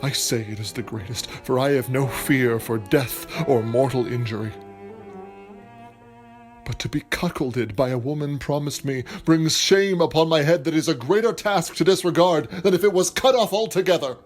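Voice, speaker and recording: A man's voice: a little high, normal speed, soft background music, close to an everyday voice. A man playing a passionate, grandiloquent playwright in a period audio drama, speaking in a florid, declamatory and earnest way. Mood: brooding, vengeful. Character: passionate, grandiloquent male playwright scheming for material. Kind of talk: theatrical period stage-play acting.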